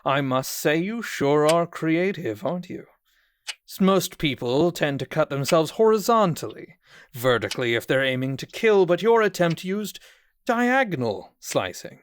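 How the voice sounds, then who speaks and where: A man's voice: a little high, very animated, unhurried, clear, resonant tone, no echo, clean studio sound. A man playing a condescending, falsely cheerful psychiatrist in a horror audio drama, with a smug, mock-polite manner. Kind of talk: theatrical audio drama acting.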